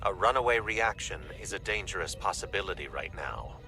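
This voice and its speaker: A man's voice: deep voice, expressive, fairly quick. A man playing a composed, cautious Vulcan starship engineer in a video game, speaking in formal, precise sentences. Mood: grave, controlled. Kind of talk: video game dramatic acting. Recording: loud music over the voice.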